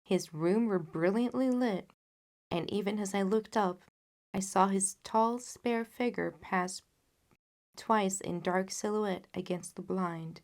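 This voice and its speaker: A woman's voice: mid-range voice, slow pace, darkish tone. A woman reading classic fiction aloud as scripted audiobook narration. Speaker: audiobook reader.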